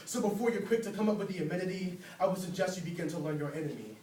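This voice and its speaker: High-voiced man reciting slam poetry. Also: very fast, darkish tone. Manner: rhythmic, rhyming, declamatory delivery. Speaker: spoken word poet. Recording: roomy, distant mic, faint noise.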